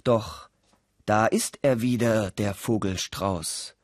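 A man's voice: lowish voice, slow, no room sound, clean studio sound. A man reading scripted storytelling narration for an audio play. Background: music.

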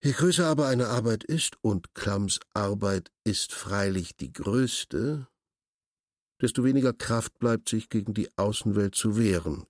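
Radio drama role: pedantic, commanding bureaucrat, lowish voice, very dry close sound. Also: male voice, expressive, unhurried, dry recording. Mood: officious, detached. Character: curt, imperious male senior official giving orders. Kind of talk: German radio drama acting.

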